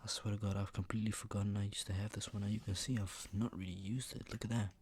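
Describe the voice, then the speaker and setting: Low-pitched man, soft casual ASMR murmur. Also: flat delivery, fast. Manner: soft-spoken, often dropping into whispers. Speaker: ASMR video host. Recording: clear background noise.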